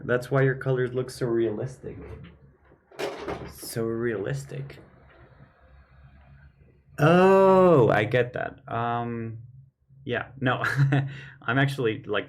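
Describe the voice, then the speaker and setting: A man hosting a painting livestream, slightly hoarse from losing his voice, speaking earnestly and thinking aloud as he works. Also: medium pitch, slight background noise. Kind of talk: casual chat while painting. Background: faint keyboard and mouse clicks.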